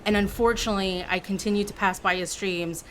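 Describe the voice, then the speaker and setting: A woman's voice: medium pitch, brisk, slight background noise, low-quality recording. A woman in her forties, from Canada, chatting casually and playfully with viewers on a livestream. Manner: chatty and expressive, teasing and playful.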